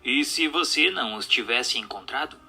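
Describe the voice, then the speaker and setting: An actor in a radio drama playing a formal, inquisitive séance questioner and narrator who speaks in a measured, scripted way. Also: male voice, mid-range voice, lively intonation, sharp tone. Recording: music under the voice.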